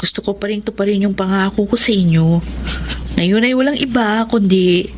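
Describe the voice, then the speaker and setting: An actress with a Filipino accent playing a devoted, lovestruck young nurse in a radio drama, earnest and respectful. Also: female voice, medium pitch, moderate pace, darkish tone, slight background noise, natural voice.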